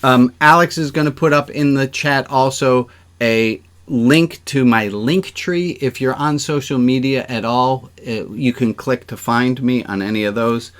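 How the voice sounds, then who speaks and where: A man hosts an online service as its rabbi, at an average pitch. He gives announcements informally and chattily, dropping in self-joking asides as he talks to people on a video call. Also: measured pace.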